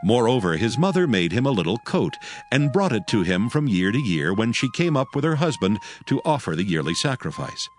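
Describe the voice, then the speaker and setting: A man's voice: mid-range voice, very animated, a little fast. A man narrating a dramatized audio Bible from a script, with music behind him. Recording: faint music under the voice.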